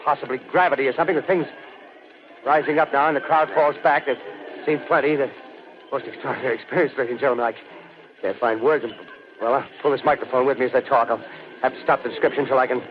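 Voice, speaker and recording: A shaken male field reporter played by an actor in a 1930s radio play. He speaks in halting, breathless bursts at a middling pitch, on a dull, noisy old recording. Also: steady tone, close to an everyday voice. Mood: horrified awe. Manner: halting, breathless, searching for words. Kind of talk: 1930s radio drama live-report acting.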